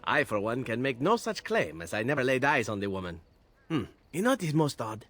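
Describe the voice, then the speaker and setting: A man's voice: medium pitch, sing-song, a little fast, a bit bright, dry recording. A man voicing a flirtatious elven assassin from a video game, teasing, florid and wistful about his homeland.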